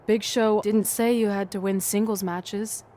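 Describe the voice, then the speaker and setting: A woman's voice: medium pitch, steady tone, unhurried, warm tone, natural voice. An actress playing a determined, loyal young woman wrestler, speaking in an earnest, assertive way. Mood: resolute. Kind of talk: scripted game cutscene dialogue.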